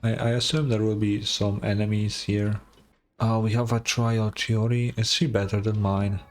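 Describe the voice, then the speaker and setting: A man's voice: lowish voice, flat delivery, normal speed, faint music behind. A man giving casual gameplay commentary as a streamer, with offhand muttered reactions and some swearing. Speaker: game streamer.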